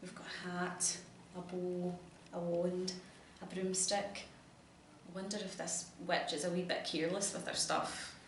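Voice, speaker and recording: A woman's voice: on the low side, flat delivery, measured pace. A woman with a Scottish accent reading a storybook to a child, encouraging and full of questions. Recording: echoey room, distant mic, noisy background.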